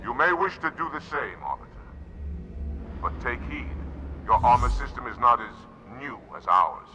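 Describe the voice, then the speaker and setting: A man playing a stern, battle-hardened alien special operations commander in a video game, measured and authoritative. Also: medium pitch, sing-song, background noise, natural voice. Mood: stern, cautioning. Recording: game audio under music.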